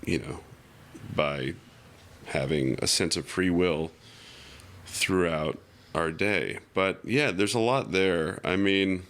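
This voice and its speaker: A man with a low, creaky voice speaks as a guest in a relaxed, reflective chat. He muses haltingly, reaching for his words as he goes. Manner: halting, musing, filler-heavy. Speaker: podcast guest. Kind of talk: reflective casual conversation.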